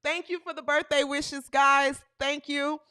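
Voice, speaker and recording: A woman in her thirties hosting a live sports talk stream, animated and playful, with bursts of shouting. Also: high-pitched, unhurried. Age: 30s. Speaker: podcast host.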